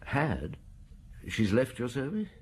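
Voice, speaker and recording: An actor playing a seasoned, genial middle-aged police inspector in a radio drama, conversational, wry and musing. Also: male voice, medium pitch, huge pitch swings, slow pace, a little hiss or hum. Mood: attentive, courteous.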